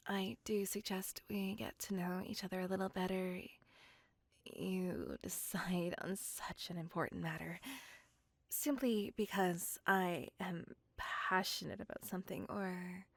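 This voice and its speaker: A woman voicing a refined, possessive vampire in an intimate ASMR roleplay, with a breathy whisper and formal, old-fashioned phrasing. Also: mid-range voice, slow, no echo. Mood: courteous, yearning. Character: refined, possessive, smitten female vampire courting a human.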